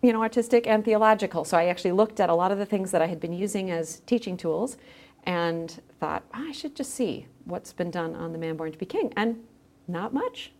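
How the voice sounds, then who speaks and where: A woman's voice: fairly low pitch, a little fast, darkish tone. A middle-aged woman speaking as a scholarly guest in a thoughtful podcast interview. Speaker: guest, theology scholar with drama background.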